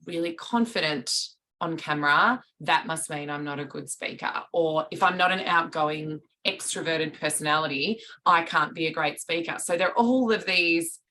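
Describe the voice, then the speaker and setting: Woman speaking coach, low voice with a bright edge, thoughtful podcast guest. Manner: articulate, reflective, restarts mid-sentence. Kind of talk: podcast interview answers.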